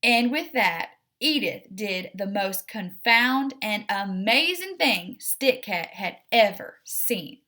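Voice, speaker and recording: A woman reading a children's story aloud as narrator, in an expressive storytelling style. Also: mid-range voice, slow pace, hardly any pauses. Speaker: story reader.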